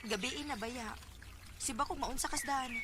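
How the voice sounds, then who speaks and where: A woman's voice: sits high, normal speed, natural voice. An actress with a Cebuano Bisaya accent playing a playful, coy young girlfriend in a radio drama. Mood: coy, teasing. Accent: Filipino, Cebuano Bisaya. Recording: very noisy audio with ambient sound.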